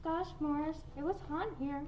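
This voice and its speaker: A woman's voice: high-pitched, steady tone, fairly quick, lo-fi sound, lightly acted. A woman playing a plucky, earnest young superheroine in a comic radio play. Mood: puzzled. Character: plucky young superheroine disguised as ordinary car wash worker. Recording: distant mic, faint noise.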